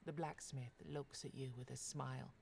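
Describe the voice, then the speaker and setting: An actor playing the storyteller of a fantasy video game, reading scripted second-person narration. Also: male voice, mid-range voice, flat delivery, normal speed, vocal fry, slight background noise, close to an everyday voice. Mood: measured.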